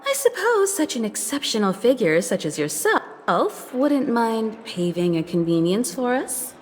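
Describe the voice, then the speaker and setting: A woman's voice: fairly high pitch, very animated, unhurried, a little hiss or hum. A woman voicing a poised, persuasive navigator in a video game, speaking in a polished, diplomatic way. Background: game music.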